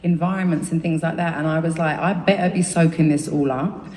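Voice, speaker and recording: A woman's voice: low-pitched, fairly even intonation, brisk, a little mellow. A woman with a London accent chatting to her concert audience between songs, rambling, swearing freely and laughing at herself. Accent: London English. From England. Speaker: singer on stage. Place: large concert venue. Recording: live concert audio, echoey arena from far off with crowd.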